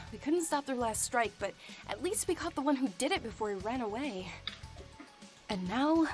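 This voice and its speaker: An actress playing a stern, weary team boss in a visual novel game. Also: female voice, on the high side, lightly acted.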